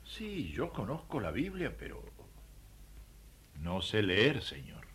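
Male actor as devout unlettered traveler, hesitant, respectful, lowish pitch, unhurried, radio drama. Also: wildly swooping pitch, fairly bright tone, a little hiss or hum, heavily acted. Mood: humble, hesitant. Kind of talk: radio play dialogue.

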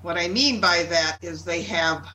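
A woman chatting casually in a rambling, halting way, a little away from the microphone. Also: on the low side, sharp tone. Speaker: club participant. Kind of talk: casual chat. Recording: distant mic, faint background noise.

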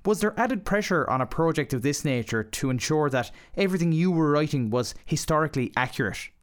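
A man's voice: fairly high pitch, animated, a little fast. An Irish man hosting and interviewing on radio, with polished, formal presenter phrasing.

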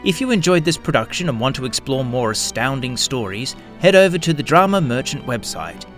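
A man's voice: medium pitch, very animated, brisk, a bit bright. A man reading scripted narration as the narrator of an audio production. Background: background music.

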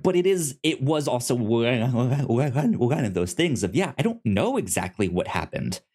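An American man co-hosting a review podcast, talking casually in a halting, rambling way with stammered starts. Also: mid-range voice, sing-song, darkish tone, slightly rough. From United States. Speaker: podcast co-host reviewing audio fiction.

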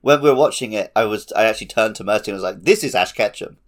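A man chatting casually as a podcast host, telling stories in an animated, laughter-prone way. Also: fairly low pitch, fast. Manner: animated storytelling with frequent laughter. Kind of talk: casual chat.

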